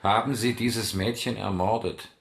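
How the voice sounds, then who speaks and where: An actor playing a probing investigator in a German radio crime drama, measured and matter-of-fact. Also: male voice, lowish voice, fairly even intonation, creaky, fairly bright tone. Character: sober, probing male investigator.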